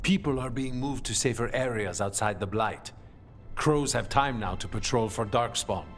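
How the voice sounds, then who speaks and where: An actor playing a dry, formal, grumbling leader of an assassin guild in a video game, deadpan and clipped. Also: male voice, medium pitch, normal speed, soft background music, natural voice. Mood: guarded, courteous. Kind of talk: video game cutscene dialogue.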